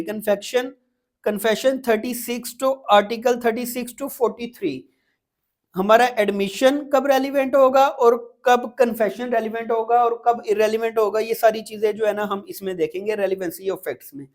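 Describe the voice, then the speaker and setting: A woman's voice: fairly high pitch, fairly even intonation, normal speed, darkish tone. A woman with a Pakistani accent giving an informal lecture as an exam prep teacher, explaining step by step with frequent repetition and check-ins.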